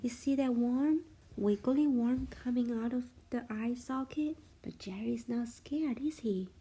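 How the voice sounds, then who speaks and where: Slow, soft-toned woman reading a bedtime story. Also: fairly high pitch, slightly rough. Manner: expressive, with hushed suspense and questions to the child. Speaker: parent reading bedtime stories to children. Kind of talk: storybook read-aloud for children.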